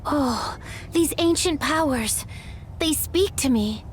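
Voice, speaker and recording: An actress playing a wide-eyed, enthusiastic hero in a video game, expressive and full of awe. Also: female voice, sits high, slow, slight background noise. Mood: awed. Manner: awed, expressive.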